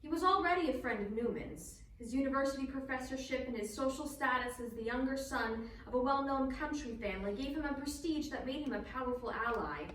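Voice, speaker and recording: A woman reading scripted historical narration in a measured, formal way for a stage performance. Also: high voice, a bit bright. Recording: distant, very echoey, faint background noise.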